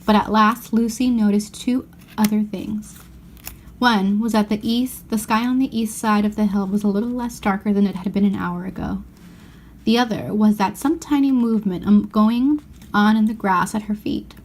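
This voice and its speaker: A woman reading a children's novel aloud as an expressive storyteller. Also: mid-range voice, moderate pace. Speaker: storybook reader.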